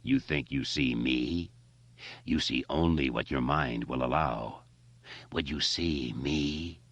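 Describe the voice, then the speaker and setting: A man voicing an ancient, evil monster in an audiobook, speaking in a slow, looming and contemptuous way. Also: extremely low pitch, a bit bright, no room sound, lo-fi sound, voice pushed down, exaggerated voice. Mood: menacing. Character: ancient evil male cosmic monster, cold and menacing. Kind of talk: audiobook character voice.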